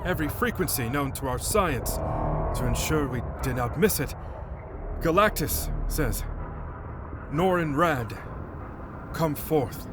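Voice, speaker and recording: Man playing urgent royal guard, slightly high. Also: lively intonation, slow, long pauses, over music. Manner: formal, urgent. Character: urgent, dutiful male royal guard bearing news. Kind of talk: dramatic comic dub acting.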